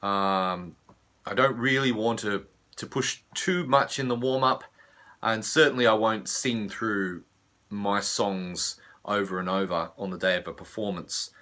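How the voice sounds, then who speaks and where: An Australian man, a vocal coach, talks through voice care in an easygoing teaching manner. His voice is husky from laryngitis yet sits fairly low, with a bright, forward edge. He fills his pauses with a steady "uh". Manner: relaxed, frequent filler "uh". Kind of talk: teaching video.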